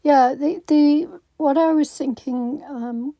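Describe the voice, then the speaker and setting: A woman hosting a craft channel, giving a casual voiceover with a croaky voice after being ill, hesitant with many ums and restarts. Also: high voice, fairly even intonation, takes it slowly, dark tone, dry recording. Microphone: phone.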